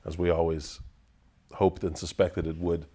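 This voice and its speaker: A man whose first language is Russian, answering interview questions in a measured, deliberate way, pausing to find his words. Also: low-pitched, little pitch movement, dark tone. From Russian family, raised in the United States. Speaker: interview guest. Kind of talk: thoughtful conversational answers.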